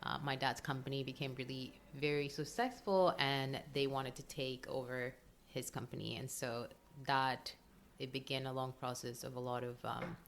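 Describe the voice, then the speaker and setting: Low-voiced Colombian woman, open and emotional. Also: steady tone, moderate pace, hardly any pauses, a little hiss or hum. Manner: open and emotional, frequent ums and laughs. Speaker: podcast guest, breathwork coach. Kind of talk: casual interview chat.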